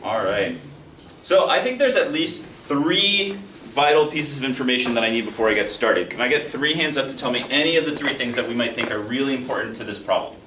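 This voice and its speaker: A man's voice: mid-range voice, lively intonation, fairly quick. A young man teaching a math lesson to a class in a friendly, encouraging, self-deprecating way. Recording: echoey classroom from far off, noisy.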